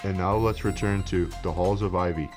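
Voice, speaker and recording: A deep-voiced male announcer narrates a radio play from a script. Music plays clearly behind his introduction. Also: fairly even intonation, moderate pace.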